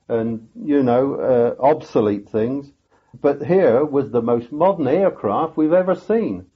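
Older male veteran, husky voice, halting and reflective, lo-fi documentary sound. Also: mid-range voice. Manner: halting, many restarts and pauses. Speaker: veteran sailor recounting a battle. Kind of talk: reflective interview recollection.

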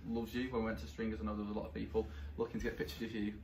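A man's voice: fairly low pitch, monotone, rapid-fire, gravelly, darkish tone. Enthusiastic young Englishman in an echoey office. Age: young adult. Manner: enthusiastic, jokey. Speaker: theatre head of marketing. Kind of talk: casual interview answers. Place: small theatre office. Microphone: shared camera a step away. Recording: echoey office, noisy background.

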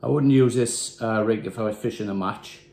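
A man in his thirties from Wales, calmly talking through tying a fishing rig at a desk. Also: on the low side, normal speed, vocal fry. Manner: calm, plain, talking while tying knots. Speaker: angler demonstrating a rig. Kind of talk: casual step-by-step tutorial. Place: small indoor room with windows. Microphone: phone camera on the desk. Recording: echoey room.

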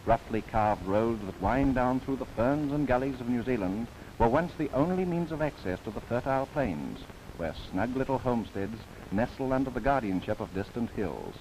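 A man's voice: medium pitch, animated, moderate pace, hardly any pauses, darkish tone, a little hiss or hum, low-quality recording. A man narrating a scripted 1930s government documentary in formal, theatrical phrasing with wry asides, on an old film soundtrack.

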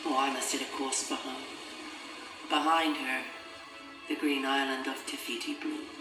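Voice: mid-range voice, flat delivery, measured pace. A narrator reading a children's adventure story in a scripted, dramatic style. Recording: echoey, distant, loud music behind. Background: loud film-score music.

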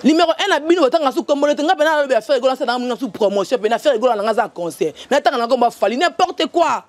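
Scolding Congolese woman, very high voice. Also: fast talker, a little hiss or hum. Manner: loud, scolding and animated, gesturing. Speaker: interviewee. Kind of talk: heated rant. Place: outdoors by a tiled wall. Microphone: handheld mic in front.

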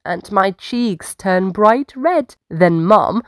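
Woman doing kids storytime, slow and really animated, dry close sound, draws words out. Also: medium pitch, dry recording. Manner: dramatic, deliberate phrasing with drawn-out words. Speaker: children's storytime host. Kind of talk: expressive picture-book read-aloud.

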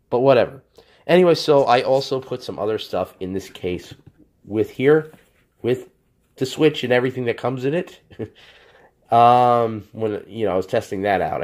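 A man with a hoarse voice from a cold, casually reviewing a product off camera while he handles it, rambling and correcting himself. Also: on the low side, darkish tone. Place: indoors at a table. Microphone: handheld camera, off-screen speaker.

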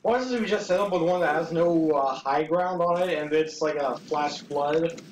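A woman's voice: low-pitched, moderate pace, far from the mic. A talkative woman explains things while she plays, set back from the mic. Her voice rings in a roomy space with faint noise beneath. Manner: chatty, explaining, giggly. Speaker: gamer. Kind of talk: casual gameplay chat.

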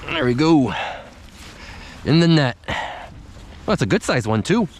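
A man's voice: on the high side, sing-song, unhurried, slight background noise. A young man chatting casually to the camera as he fishes from a snowy riverbank, thinking aloud about each catch. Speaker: fishing vlogger. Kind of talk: casual running commentary while fishing. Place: outdoors on a snowy riverbank in winter. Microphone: camera worn on the body or handheld at close range.

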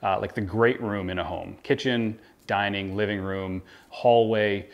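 Low-voiced American man explaining. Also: steady tone, measured pace, highs cut off. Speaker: home renovation contractor presenting. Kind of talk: informal explainer to camera. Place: indoor kitchen.

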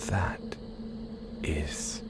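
A man playing a playful, menacing, possessive werewolf in an audio roleplay, teasing the listener in a breathy, half-whispered, predatory way. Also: drawn-out words. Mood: mock-apologetic, menacing.

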